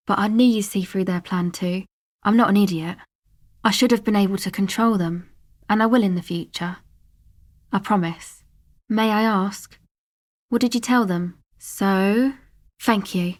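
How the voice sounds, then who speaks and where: A woman's voice: mid-range voice, fairly even intonation, unhurried, long pauses, close to an everyday voice. An actress playing a guarded, laid-back young streetwise woman in a video game, talking in a casual, slangy, dry way. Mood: resigned, earnest. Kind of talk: video game character dialogue, conversational.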